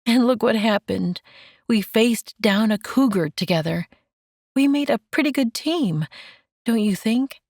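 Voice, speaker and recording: A woman audiobook narrator plays a caring young woman in a romance story. She speaks slowly in a raised pitch, tender and encouraging with a gentle teasing streak. The recording is dry and close. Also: lively intonation, no echo. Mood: gently teasing.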